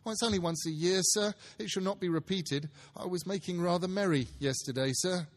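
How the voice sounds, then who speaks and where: A man's voice: sits high, a little fast, natural voice. Man acting a timid, stammering, apologetic clerk. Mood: nervous, apologetic.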